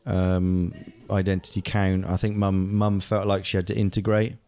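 A man's voice: low-pitched, level tone, moderate pace, darkish tone. A man in his forties, an actor from England whose first language is German, chatting casually as an interview guest with many fillers and restarts. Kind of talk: casual conversation.

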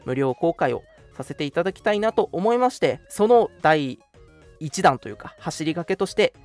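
A man's voice: on the high side, lively intonation, normal speed. A man hosting a casual radio show, chatting politely and making announcements over background music.

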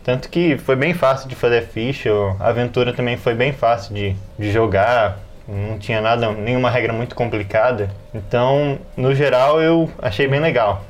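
A man's voice: medium pitch, normal speed. A man with a Brazilian accent giving casual opinions as a player on a tabletop gaming podcast, over faint background noise. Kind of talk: casual chat about tabletop games.

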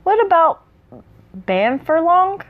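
A woman's voice: very high-pitched, big pitch swings, takes it slowly, phone-quality sound, voice pushed up, full character voice. A woman voicing a prim but affectionate young hobbit woman, an elder cousin, in storybook dialogue. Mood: carefree. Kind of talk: read-aloud storybook dialogue.

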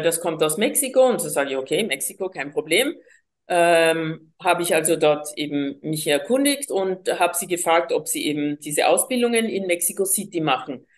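Woman from colombia, slightly low pitch, hesitant with fillers, interview guest. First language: Spanish. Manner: hesitant, many fillers and restarts. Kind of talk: personal storytelling in an interview.

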